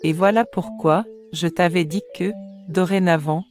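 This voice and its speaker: A caring mother from a children's cartoon, played by a woman, with a fairly low voice. She instructs her child patiently over faint music. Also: fairly even intonation, measured pace, darkish tone, lightly acted. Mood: gently instructive.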